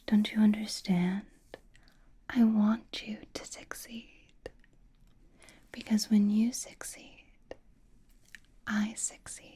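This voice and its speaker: Casting: woman as coy vampire companion for ASMR roleplay, hushed breathy whisper, unhurried, flirtatious and doting. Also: medium pitch, heavily acted. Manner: coy, theatrical, old-fashioned endearments, lingering phrasing.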